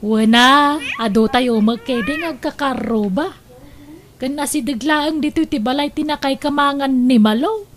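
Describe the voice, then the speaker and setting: High-voiced cheerful Ilocano daughter acted by a woman. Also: normal speed, slight background noise, lightly acted. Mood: reassuring. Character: cheerful, contented married daughter of a farmer's household. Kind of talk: Ilocano radio drama acting.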